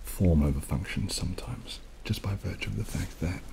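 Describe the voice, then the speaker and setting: A young man with a very low voice talks in a casual, intimate ASMR style. He drifts between soft speech and whispering, unhurried and a little hesitant, with clear noise behind him. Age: young adult. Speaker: ASMR video creator. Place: small dim indoor room. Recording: clearly audible background noise, added rain.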